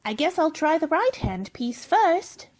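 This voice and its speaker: A very high, swooping girl's voice, put on by a woman for a radio play. She is curious and polite, full of questions and exclamations, and quick to get exasperated. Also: sing-song, very noisy. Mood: puzzled. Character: Alice, curious polite young girl, easily exasperated. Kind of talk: theatrical radio play acting.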